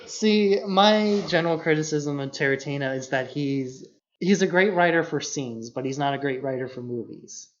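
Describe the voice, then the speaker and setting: A man's voice: on the high side, moderate pace, slight background noise. A man co-hosting a podcast, discussing films casually in an analytical, critical way, often peppering his speech with "like". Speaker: podcast co-host. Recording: slightly dull lo-fi podcast audio.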